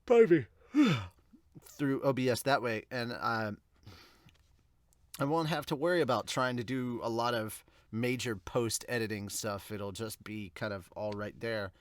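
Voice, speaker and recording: A man's voice: medium pitch, a little slow, no room sound. A man hosting a manga review podcast, chatting to viewers in an informal, rambling way. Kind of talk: casual chat to viewers.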